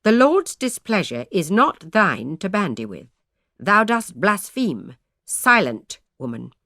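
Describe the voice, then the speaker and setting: A woman's voice: on the low side, sing-song, moderate pace, a bit bright, no echo, studio quality. A woman voicing a grave, severe old male parson who speaks in old-fashioned words.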